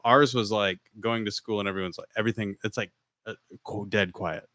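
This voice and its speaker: A man's voice: lowish voice, fairly even intonation, brisk, fairly bright tone, no room sound. A man co-hosting a podcast, chatting casually in a jokey way. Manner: jokey, drops into silly put-on voices. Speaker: podcast co-host. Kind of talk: casual chat.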